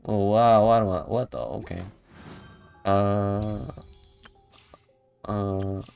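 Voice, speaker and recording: A man's voice: on the low side, steady tone, very slow, creaky, a little mellow, clean tone, faint music behind. A man doing casual live gameplay commentary, muttering his reactions to himself as he plays. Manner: muttering reactions to himself, humming and singing snatches. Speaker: game streamer.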